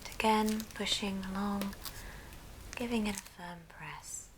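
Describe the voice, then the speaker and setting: A woman whispering a gentle, breathy, step-by-step ASMR craft tutorial. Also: medium pitch, fairly even intonation. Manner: slow, gentle step-by-step instruction. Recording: noisy background, handling clicks.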